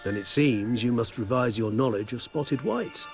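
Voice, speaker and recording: Video game role, male actor: genteel vampire scholar, mid-pitched, precise, long-winded and politely dry, over faint music. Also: natural voice. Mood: musing. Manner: wordy, formal, precise and measured. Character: erudite, courteous vampire scholar, wry and cautious. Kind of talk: video game dialogue acting. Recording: music behind the voice.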